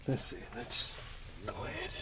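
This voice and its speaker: A man doing soft-spoken ASMR roleplay, often whispering, talking in a halting, unhurried murmur as if to a friend beside him. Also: very noisy. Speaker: ASMR creator.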